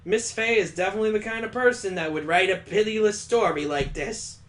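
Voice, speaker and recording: A man's voice: high voice, brisk, fairly bright tone. A man hosting a let's play, reading a visual novel aloud with playful, joking asides.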